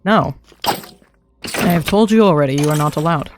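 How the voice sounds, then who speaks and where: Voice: mid-range voice, normal speed, background noise. A young adult streamer chatting casually to themself while playing a game, laughing often, throat a little dry. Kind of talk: casual live gameplay commentary.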